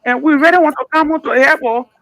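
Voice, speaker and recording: Liberian woman caller, very high bright voice, emphatic, stammering repeats. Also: fast, no room sound, low-quality recording. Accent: Liberian English. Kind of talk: call-in radio talk.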